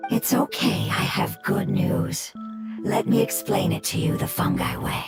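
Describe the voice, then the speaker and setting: A woman voicing a wise, gentle old fungus creature in a fantasy game, with breathy, formal phrasing. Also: a little slow, close to an everyday voice. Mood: gentle, moved. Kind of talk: fantasy video game dialogue. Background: prominent background music.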